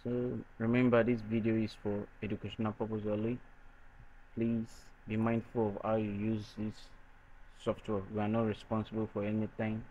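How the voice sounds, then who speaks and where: A man presenting a software tutorial in a halting way, often repeating his phrases. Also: fairly low pitch, monotone, slight background noise. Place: noisy room. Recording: dull lo-fi microphone sound.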